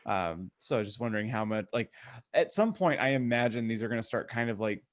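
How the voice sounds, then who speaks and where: Guy co-hosting a podcast, medium pitch voice, just chatting casually. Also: lively intonation, fairly quick. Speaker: podcast co-host.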